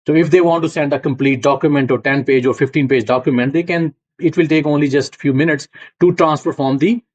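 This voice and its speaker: A middle-aged male lecturer from Punjab speaks with a Punjabi accent and a fairly high voice, walking students through concepts step by step. He often pauses to check that they follow. The recording is dull and lo-fi. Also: steady tone. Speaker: university lecturer. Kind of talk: classroom lecture.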